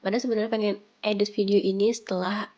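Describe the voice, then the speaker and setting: Casting: young woman, nasal and bunged-up with flu, mid-pitched, chatty home vlog voice-over. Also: level tone, quick pace, darkish tone, dull audio. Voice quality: congested, nasal from a cold. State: sick with flu and sore throat. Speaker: home vlogger.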